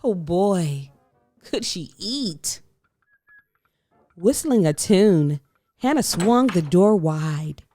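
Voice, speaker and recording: A woman narrating a children's story aloud in an expressive, scripted read-aloud style. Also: lowish voice, drawn-out words, long pauses. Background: faint music.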